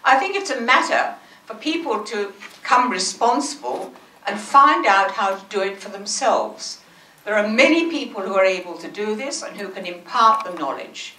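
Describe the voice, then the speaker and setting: An older woman with a loud, bright, forceful voice, speaking forthrightly and plainly. She is holding a mic in an echoing hall where faint noise can be heard. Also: mid-range voice, lively intonation, normal speed, distant mic. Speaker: audience member. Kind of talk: comment from the floor. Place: auditorium. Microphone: handheld mic.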